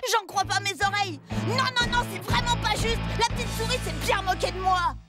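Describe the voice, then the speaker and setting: A woman voicing a scheming, excitable little boy in a children's cartoon, wheedling, dramatic and boastful. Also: extremely high pitch, fairly quick, loud music behind the voice. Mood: indignant. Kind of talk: animated children's cartoon acting.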